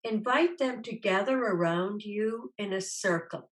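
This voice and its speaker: A woman leading a guided meditation as a teacher, gently instructing in a soothing, unhurried way with long pauses. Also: mid-range voice, low-quality recording.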